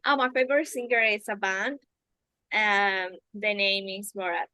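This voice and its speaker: A woman's voice: medium pitch, unhurried, pushed and bright, no echo. A woman from Ecuador, a native Spanish speaker with an Ecuadorian accent, answering hesitantly as an English student. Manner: hesitant, searching for words.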